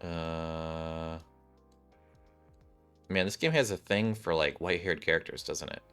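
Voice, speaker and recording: Low-voiced man streaming a game. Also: measured pace, a bit bright, clean tone. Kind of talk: casual gameplay commentary. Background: game music.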